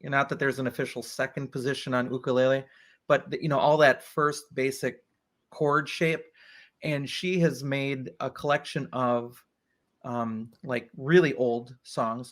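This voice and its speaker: Male tenor host, chatty, mid-pitched, thinks aloud. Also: fairly bright tone. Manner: chatty, thinks aloud with likes and you-knows. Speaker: podcast host. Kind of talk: casual interview chat.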